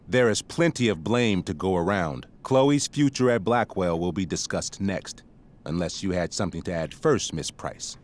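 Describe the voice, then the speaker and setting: An actor playing a stern, middle-aged high school principal with a measured, officious manner in a video game drama. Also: male voice, expressive, a little hiss or hum. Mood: firm, reproving.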